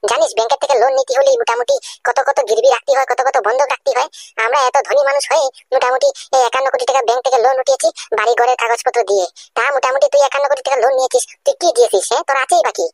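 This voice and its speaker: A woman's voice: high-pitched, animated, very fast. A woman voicing a haughty rich cartoon woman who scolds and taunts with repeated questions. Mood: scornful. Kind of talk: amateur cartoon dialogue.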